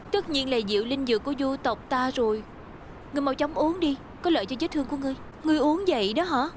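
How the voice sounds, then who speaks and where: A woman acting a high-voiced young healer, music behind. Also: lightly acted. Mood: caring, chiding. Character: young female healer of a secret tribe, caring but scolding. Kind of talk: dubbed fantasy costume drama acting.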